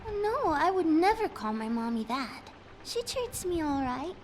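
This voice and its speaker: High-voiced, guarded little girl. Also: sing-song, measured pace, soft background music, heavily acted. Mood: guarded, polite. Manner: prim, careful, slightly hesitant. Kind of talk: video game voice acting.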